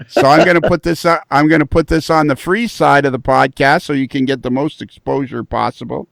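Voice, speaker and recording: A man runs a podcast in a casual, excited way, often repeating himself mid-sentence. His voice is gravelly with a bit of fry. The recording is dry and close. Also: medium pitch, fairly quick, no room sound. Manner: enthusiastic, repeats words as he goes. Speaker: podcast host.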